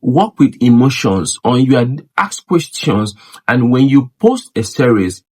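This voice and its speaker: A man's voice: medium pitch, big pitch swings, a little slow, mellow, breathy edge. A man who makes videos, coaching viewers on growing a channel in an emphatic, repetitive way. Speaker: YouTube creator teaching monetization. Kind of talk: direct-to-camera advice talk.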